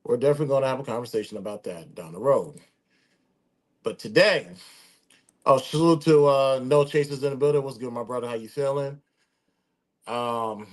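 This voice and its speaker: A man in his late thirties hosting a live talk show, speaking in a casual, joking way. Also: medium pitch. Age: 30s. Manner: joking, teasing. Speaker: host.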